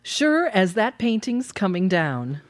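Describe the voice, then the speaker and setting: A woman's voice: mid-range voice, very animated, slow pace, dry recording. Woman acting exasperated, melodramatic wife in radio play. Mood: dry, insistent. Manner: theatrical, emphatic, wordy. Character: fed-up, sardonic wife nagging about a hated painting.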